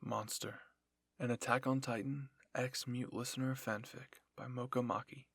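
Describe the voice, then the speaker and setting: A man's voice: mid-range voice, level tone, moderate pace, gravelly, a bit bright, no room sound, studio quality. A man narrating a dramatic fan-fiction story as a scripted audio reading.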